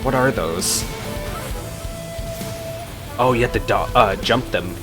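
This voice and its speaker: Male game streamer, lowish voice, thinking aloud in casual reaction commentary with prominent music behind him. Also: slow pace. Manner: musing aloud, unscripted. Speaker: gaming streamer.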